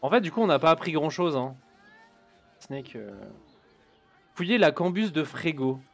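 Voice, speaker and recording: A man's voice: fairly high pitch, animated, normal speed. A man streaming a video game, joking casually and reading in-game text aloud. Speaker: gaming streamer. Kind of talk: casual let's-play commentary.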